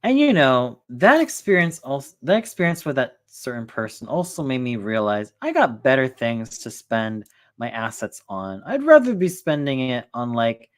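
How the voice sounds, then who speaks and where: A man's voice: medium pitch, sing-song, normal speed, hardly any pauses. A young man chats casually as the host of a stream. He rambles and often circles back with fillers before landing on his point. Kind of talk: casual chat.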